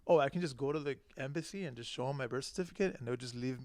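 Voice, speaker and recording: Male podcast guest from Cuba, mid-pitched, informal storyteller, very dry sound. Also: very fast, a little mellow, dry recording. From born in Cuba, left as a small child. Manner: slangy, informal. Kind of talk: casual chat, personal storytelling.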